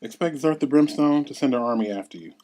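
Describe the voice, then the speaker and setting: A man's voice: medium pitch, fast, mellow, close to an everyday voice. A man reading the part of a solemn exiled council elder in an earnest, expository way at a script table read. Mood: instructing.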